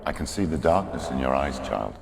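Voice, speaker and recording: A fanatical father in a video game, preaching with menace. His creaky voice swings hugely from murmur to roar. He is domineering and stern. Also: very deep voice, big pitch swings, a little fast, music in the background, heavily acted. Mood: grim, ominous. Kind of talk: dramatic video game acting.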